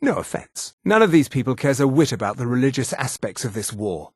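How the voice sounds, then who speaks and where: Snooty high elf officer for a fantasy game, clipped n condescending, really animated delivery, bit of husk. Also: male voice, a little fast, breathy edge, no echo, studio quality. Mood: haughty, menacing. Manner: disdainful, formal, clipped authority. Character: haughty male high elf officer of an imperious regime. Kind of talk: fantasy video game character dialogue.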